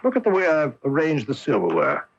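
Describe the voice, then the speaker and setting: A man playing a keen, confident, amused master detective in a theatrical radio mystery style, with a raspy voice. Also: a little high, wildly swooping pitch, brisk, heavily acted. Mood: probing. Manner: theatrical, emphatic deductive delivery. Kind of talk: 1980s radio mystery acting. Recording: dull 1980s AM radio broadcast.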